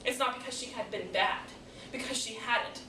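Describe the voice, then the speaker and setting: A woman performing a dramatic stage monologue as a grieving daughter who bitterly questions God, with deliberate pauses. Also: on the high side. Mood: bitter, questioning. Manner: deliberate, dramatic pauses, swelling from hushed to pleading. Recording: echoey room, distant mic, background noise.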